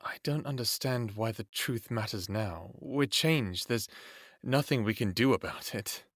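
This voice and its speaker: A man's voice: on the low side, measured pace, dry recording, clean studio sound. An actor playing an earnest, idealistic and protective human man in an audio drama, hesitant at times and impassioned at others. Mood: troubled. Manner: expressive, hesitant then impassioned.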